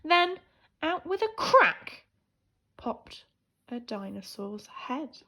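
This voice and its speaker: Lady teacher reading a kids story, high pitched, super expressive and sing-song, really acting it out. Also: extremely slow. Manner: animated, theatrical storytelling with hushed and emphatic swings. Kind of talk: expressive children's picture-book read-aloud.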